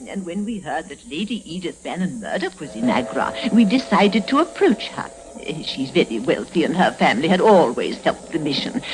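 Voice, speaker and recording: A woman's voice: mid-range voice, low-quality recording. A woman playing a genteel, talkative, fretful missionary's wife in a vintage radio drama, fluttery and plaintive, on a hissy recording. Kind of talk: 1950s radio drama acting.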